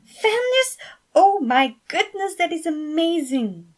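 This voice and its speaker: A woman voicing a sweet, flirtatious male entertainer in a story reading, warm and animated. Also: very high-pitched, slow, pitched above the performer's own voice, heavily acted. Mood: astonished.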